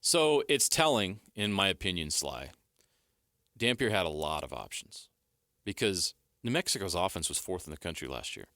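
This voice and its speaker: A man's voice: low-pitched, big pitch swings, sharp tone, no room sound, studio quality. A man hosting a sports radio show, asking pointed questions and rattling off stats. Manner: leading questions, rapid stat rundowns.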